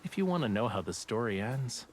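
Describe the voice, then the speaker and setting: Actor as teasing young game love interest. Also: male voice, medium pitch, big pitch swings, fairly quick, darkish tone, faint music behind, natural voice. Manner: teasing, coy, drawling. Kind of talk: game romance dialogue.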